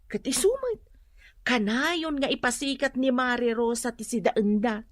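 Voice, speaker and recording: Fretful nagging wife, highish voice, dry recording, Ilocano accent, radio drama. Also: female voice, animated, a little slow, dry recording. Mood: indignant, fretful.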